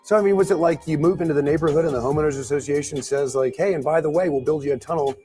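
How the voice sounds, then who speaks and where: A man's voice: on the high side, rapid-fire, mellow, faint music behind. A man on a podcast asking joking, incredulous questions.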